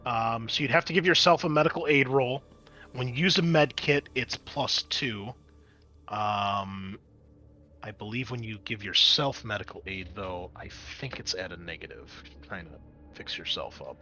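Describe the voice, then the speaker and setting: A man's voice: fairly low pitch, normal speed, bright, forceful tone. A man acting as game master, narrating a tabletop role-playing game and talking players through the rules.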